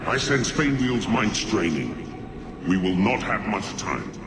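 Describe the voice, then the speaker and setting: An actor playing a stern, righteous spirit in a video game, speaking gravely and formally with an echoing effect. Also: male voice, fairly low pitch, very animated, a little slow. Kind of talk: video game cutscene acting. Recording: very noisy, echoing game capture.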